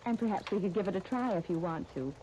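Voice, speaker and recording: An actress as a courteous woman who runs a dress shop in a 1930s radio soap. Her voice is lowish and mellow, her delivery measured and careful. Faint crackle from an old broadcast disc runs underneath. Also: expressive, lightly acted. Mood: polite, careful. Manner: polite, measured, hesitant.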